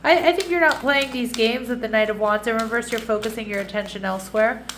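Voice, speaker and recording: A woman's voice: on the high side, fast talker, fairly bright tone, a little hiss or hum. A woman giving a casual tarot reading, a little nasal and congested, chatty with frequent restarts and asides. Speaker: tarot reader.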